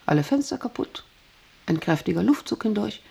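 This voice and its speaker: An actress playing a proud, volatile factory boss in a radio drama, imperious and intense, obsessed with power. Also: female voice, mid-range voice, very animated, moderate pace, vocal fry, breathy edge, heavily acted. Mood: exhilarated. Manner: imperious, intense, swinging between hushed and vehement. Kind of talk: German radio drama acting.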